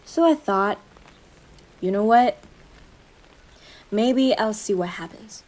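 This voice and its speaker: Woman acting a slow-talking possessive yandere girlfriend. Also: medium pitch, expressive, a little mellow, low-quality recording. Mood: sweetly menacing. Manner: slow, sugary endearments swinging into intense jealous outbursts. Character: possessive yandere girlfriend, obsessive, syrupy, menacing, young woman. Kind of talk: dramatic roleplay monologue addressed to the listener.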